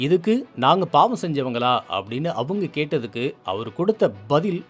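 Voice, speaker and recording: A man's voice: a little high, sing-song, normal speed, husky, soft background music. A man presenting scripted social commentary in an emphatic, indignant manner full of rhetorical questions.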